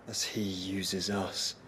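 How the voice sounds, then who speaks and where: Slow-spoken, earnest young nobleman. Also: sits low, background noise. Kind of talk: dramatic video game acting.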